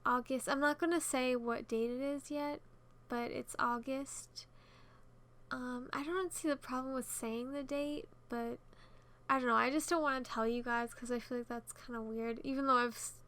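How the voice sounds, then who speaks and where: A young woman of nineteen with a high voice talks casually over a gaming video. She rambles in a chatty, giggly way, often second-guessing herself and wandering off into side remarks. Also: pushed and bright. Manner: chatty, giggly, self-conscious and digressive. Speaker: YouTube gamer narrating a speed build.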